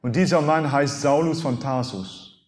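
A man's voice: a little high, measured pace. French-native preacher in his fifties, hall reverb. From France. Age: 50s. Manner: animated, rhetorical questions, emphatic swings in loudness. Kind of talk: sermon.

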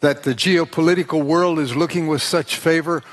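A man preaching a sermon in short phrases, pausing for his interpreter. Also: on the high side, steady tone. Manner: slow, deliberate, pausing for interpreter.

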